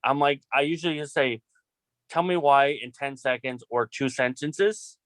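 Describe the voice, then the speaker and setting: A man's voice: mid-range voice, moderate pace, fairly bright tone, dry recording. A man speaking as a student filmmaker in a casual group discussion. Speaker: student filmmaker in an online class meeting.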